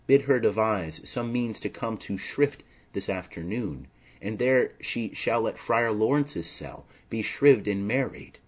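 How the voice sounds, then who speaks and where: Man reading as an earnest slow-spoken young lover. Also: fairly low pitch. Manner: formal, insistent, poetic. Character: earnest lovestruck young nobleman.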